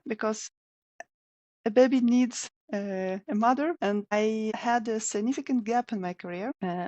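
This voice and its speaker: A woman from Russia in her thirties, a guest speaking English, telling her career story in a measured, thoughtful way with small hesitations. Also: on the high side, darkish tone, no room sound. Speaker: guest, software developer. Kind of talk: casual interview talk.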